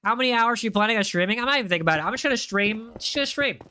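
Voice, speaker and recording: Bright, high-voiced young male streamer. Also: animated, very fast, smooth. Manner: chatty, repeats himself, talks to chat. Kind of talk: live gaming stream chat.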